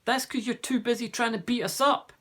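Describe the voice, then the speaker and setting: A man's voice: very high voice, brisk, gravelly, pitched above the performer's own voice, full character voice. A man reading aloud, voicing a cheerful, polite young schoolboy. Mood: friendly. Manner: lively and friendly.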